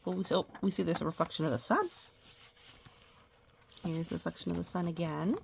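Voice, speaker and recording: A woman reading a picture book aloud to young children, gently pausing to explain things and ask questions. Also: lowish voice, gravelly, a little hiss or hum. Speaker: children's story reader.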